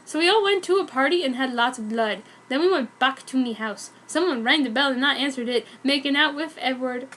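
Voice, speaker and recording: High-voiced woman reading fanfic, amused. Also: fairly quick, hardly any pauses, fairly bright tone. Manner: amused, mock-serious. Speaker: reader. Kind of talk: dramatic comic narration of a fanfic.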